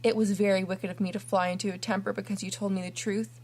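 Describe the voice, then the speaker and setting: A woman's voice: medium pitch, moderate pace, slight background noise, natural voice. A woman voicing an imaginative, dramatic orphan girl who talks earnestly and theatrically. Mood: beseeching.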